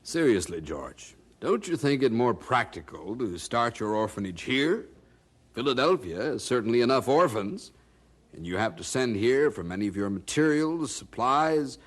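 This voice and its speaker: Witty colonial printer with lively delivery. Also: male voice, mid-range voice, moderate pace. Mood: probing. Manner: wry, conversational, amused. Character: genial, skeptical colonial printer, worldly and witty. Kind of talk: audio drama acting.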